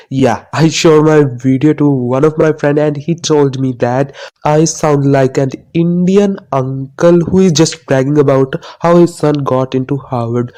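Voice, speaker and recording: A man's voice: on the high side, dark tone. A young man from Asia, a student YouTuber, giving a casual explainer monologue with a chatty, self-mocking teacher tone.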